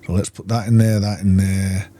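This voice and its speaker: A man giving casual, low-key gameplay commentary, musing and thinking aloud to himself. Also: little pitch movement, moderate pace, dark tone, slight background noise. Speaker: gaming video host.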